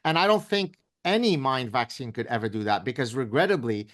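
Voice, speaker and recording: A man's voice: mid-range voice, lively intonation, brisk, fairly bright tone. Emphatic, sarcastic professor man. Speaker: professor guest. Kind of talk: interview conversation.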